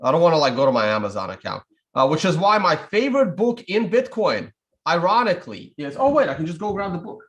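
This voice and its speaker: A man in his thirties, a native Russian speaker, hosts a loose livestream from a home room over a webcam call. His voice sits a touch high for a man. He wanders between thoughts, restarting sentences and filling gaps with uhs and ums. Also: big pitch swings, fast talker, a bit bright, highs cut off. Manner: rambling, off-the-cuff, many fillers and restarts. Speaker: trading show host. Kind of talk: casual live-stream chat. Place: home studio room, indoors. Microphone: webcam video call.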